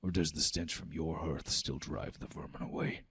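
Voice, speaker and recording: A man's voice: fairly even intonation, moderate pace, gravelly, breathy edge, exaggerated voice. A man voicing a proud, fierce giant warrior chieftain in a declamatory, theatrical tabletop game style. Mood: cold, menacing. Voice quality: low growl when angered. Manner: declamatory, theatrical, old-fashioned oaths.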